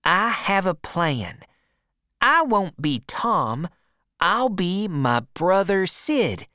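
A woman's voice: fairly low pitch, big pitch swings, very slow, a bit bright, dry recording, clean studio sound, full character voice. A woman reading a confident, clever boy. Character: bold, clever boy with plans.

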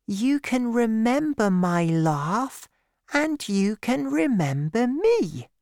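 A man voicing a gentle, sad little boy prince, speaking softly and earnestly. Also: very high voice, very animated, slow pace, no echo, clean studio sound, voice pushed up, full character voice. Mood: wistful. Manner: soft, earnest, wistful.

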